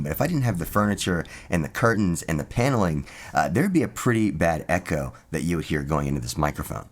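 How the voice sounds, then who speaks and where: A man hosting a product review video, presenting scripted narration to the viewer. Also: low voice. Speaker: video host.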